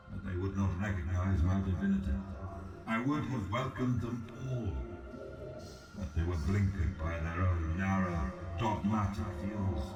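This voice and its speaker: A man voicing an aloof, imperious ancient god in a video game, speaking in a solemn, formal and deliberate way. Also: low-pitched. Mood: proud, grave. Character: ancient exiled male god-emperor, aloof, measured, imperious. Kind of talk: scripted video game dialogue. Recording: echoing, distant voice over music.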